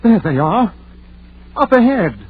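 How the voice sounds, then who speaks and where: An anxious, folksy American country man in a vintage radio play. His voice sits fairly high and swings widely, with slow, hesitant delivery. The old recording is muffled and narrow. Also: very animated, a little hiss or hum, full character voice. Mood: alarmed. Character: nervous, superstitious rural townsman, reluctant searcher. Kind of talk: 1930s-40s radio drama acting.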